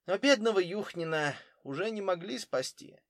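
A man's voice: high voice, expressive, normal speed, a bit bright, dry recording, studio quality, voice pushed up. A man voicing a careful, literal German footballer in his thirties, giving witness answers in an audiobook. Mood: careful, cooperative. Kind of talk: audiobook character dialogue.

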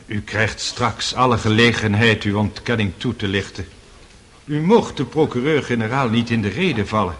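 An actor playing a stern, impatient presiding judge in a radio play, formal and curt. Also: male voice, fairly low pitch, normal speed, a bit bright, breathy edge.

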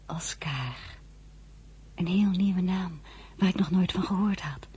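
An actress in a radio drama playing a tender, frank young wife and new mother, with a soft, emotional delivery. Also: fairly low pitch, slow, husky, exaggerated voice. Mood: weak, hushed.

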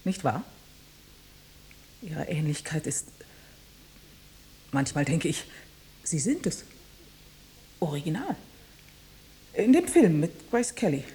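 Mellow, lively teasing, probing woman. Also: deep voice, slow, long pauses, breathy edge, heavily acted. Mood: probing, suspicious. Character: teasing, probing woman, a biologist and old flame. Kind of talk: German radio drama acting.

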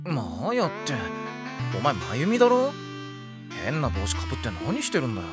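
A man's voice: mid-range voice, huge pitch swings, moderate pace, over music. A man voicing a caring but wry teenage schoolboy in an anime audio drama, a casual straight man. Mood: exasperated.

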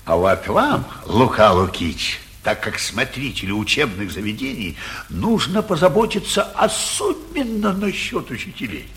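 An actor playing an anxious, blustering middle-aged provincial mayor in emphatic, theatrical 1940s radio comedy style. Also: male voice, mid-range voice, sing-song, unhurried, a bit bright. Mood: fussy, complaining.

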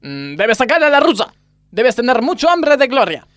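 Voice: mid-range voice, very animated, normal speed, a bit bright, no room sound. An actor plays an exasperated male gymnastics coach in a radio comedy. The delivery is instructive and grandly solemn, recorded dry and close. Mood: mock-solemn. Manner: melodramatic, instructive, mock-solemn. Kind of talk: parody radio play acting.

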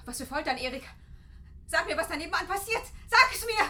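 A scared young woman in a radio drama. She pleads with breathless urgency in a very high, piercing voice that jumps widely in pitch. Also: expressive, fairly quick, sharp tone, a little hiss or hum, full character voice. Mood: desperate pleading. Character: frightened, pleading young woman held by a madman. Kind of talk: German radio drama acting.

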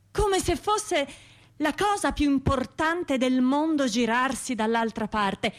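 An actress playing a wounded, bitter bombing survivor in a radio theatre monologue, confiding in colloquial speech. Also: female voice, mid-range voice, natural voice. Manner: colloquial, confiding, wry, shifting between narration and imagined voices.

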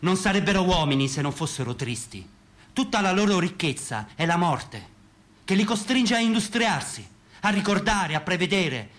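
Man acting a higher-pitched mocking, domineering boss. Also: sing-song, moderate pace, a bit bright. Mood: musing, lofty. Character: mocking, domineering man lording it over workers. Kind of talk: stage-style radio theatre acting.

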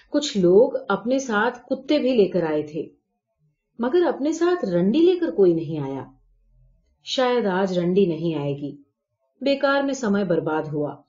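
A woman narrates literary fiction in a soft-edged, mellow voice at a medium pitch. She reads from a script as a storyteller would for an audiobook. The recording is somewhat dull and lo-fi.

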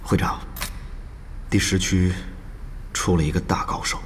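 A man's voice: low voice, fairly even intonation, a little slow, noisy background. A man playing a thoughtful, competitive guild swordsman in a Chinese audio drama, given to musing, sighing asides. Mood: impressed, serious. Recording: long hall reverb, music behind.

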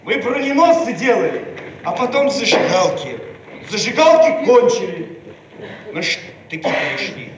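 A plucky, plain-spoken Soviet worker in a radio play. He is strikingly high for a male voice and talks with folksy emphasis. The old recording is heavily echoed with faint noise. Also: big pitch swings, unhurried. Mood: proud, brash.

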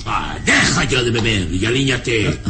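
A man's voice: lowish voice, very animated, measured pace, music in the background. A man playing an imperious, scornful baron and father in a theatrical, haughty, commanding radio serial style. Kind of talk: old radio serial acting.

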